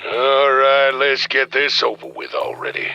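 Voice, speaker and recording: A man voicing a gruff, grudging outlaw space marine in a video game, gravelly and drawling. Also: medium pitch, monotone, unhurried, sharp tone, no room sound, dull audio, soft background music. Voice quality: gravelly, rough.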